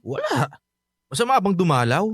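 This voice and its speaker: A man's voice: high-pitched, huge pitch swings, normal speed, gravelly, a bit bright, slightly rough, no room sound, clean studio sound, full character voice. A man playing a lazy, playful young Filipino student who wheedles and pleads, in radio drama dialogue with a Filipino accent. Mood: casual. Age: young adult.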